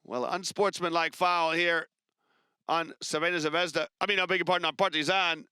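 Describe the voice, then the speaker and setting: Guy doing basketball play-by-play, bright crisp voice, dead dry sound. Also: a little high, no room sound. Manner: brisk, chatty, rises with excitement on big plays. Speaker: play-by-play commentator. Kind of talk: live basketball commentary.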